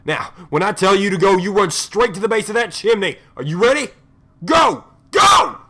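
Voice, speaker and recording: A man's voice: high-pitched, sing-song, normal speed, vocal fry, pushed and bright, shouting, heavily acted. A man playing a hardened, resolute leader of dragon slayers in an audio drama, giving terse orders. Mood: urgent shouting.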